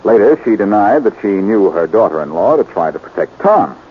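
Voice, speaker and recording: Casting: breezy, wry amateur sleuth for 1940s radio crime drama, swooping lively pitch, muffled old broadcast sound. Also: male voice, a little fast, a little hiss or hum. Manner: breezy, wry, self-assured. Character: quick-witted, wisecracking male amateur detective.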